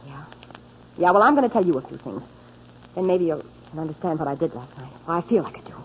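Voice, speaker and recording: A woman's voice: low-pitched, expressive, quick pace. An American actress playing a troubled, guarded young woman in a 1940s radio drama. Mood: bitter, earnest. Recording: muffled, noisy 1940s radio broadcast.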